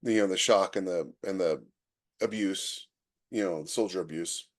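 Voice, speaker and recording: A man's voice: deep voice, moderate pace. A man co-hosting a podcast in casual conversation, thinking aloud and talking informally about technology. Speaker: podcast co-host. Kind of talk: casual conversational tech chat.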